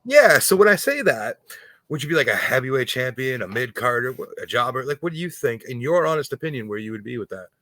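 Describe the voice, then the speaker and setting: Bright-voiced host with a dry sound. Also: male voice, medium pitch, very animated, fast talker, no echo. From Toronto, Canada. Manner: friendly, stumbling questioner. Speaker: podcast host. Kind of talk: casual interview.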